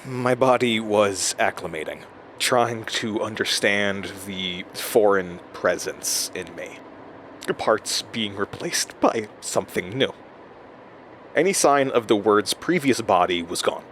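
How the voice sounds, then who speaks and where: Scattered nervous man doing an audio journal, lowish voice, stammers and mutters to himself, car noise behind. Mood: haunted, confiding. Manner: halting, stammering, talking to himself. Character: rattled, scattered, self-doubting man recording an audio journal. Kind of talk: audio drama monologue. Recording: steady noise, like inside a moving car.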